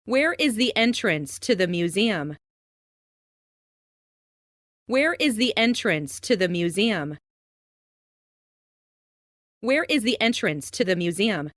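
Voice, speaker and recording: A woman reading scripted English example sentences for language learners, enunciating carefully and deliberately. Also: medium pitch, expressive, fairly bright tone, no room sound, clean studio sound. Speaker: English lesson narrator.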